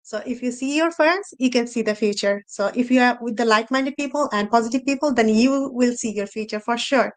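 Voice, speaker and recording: A woman with a fairly high voice speaks off the cuff at a motivational panel. English is not her first language, and she is earnest, repeating herself and phrasing things loosely as she encourages her listeners. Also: a little fast, hardly any pauses. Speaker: host and speaker on a women entrepreneurs' live panel. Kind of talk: motivational talk, unscripted.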